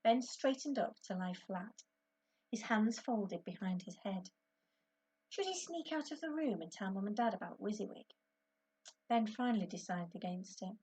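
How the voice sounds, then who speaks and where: A woman's voice: medium pitch, lively intonation, moderate pace, a little mellow, slightly rough, low-quality recording. A woman teacher reading a children's story aloud expressively to her class.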